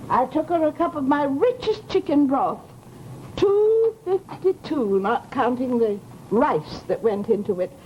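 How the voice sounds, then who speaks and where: High-pitched nosy American landlady. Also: sing-song, unhurried, darkish tone, lo-fi sound. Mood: aggrieved. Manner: garrulous, dramatic, scolding. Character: nosy, aggrieved, self-pitying widowed landlady. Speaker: radio drama actress. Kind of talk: 1940s American radio horror acting. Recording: noisy old-time radio recording.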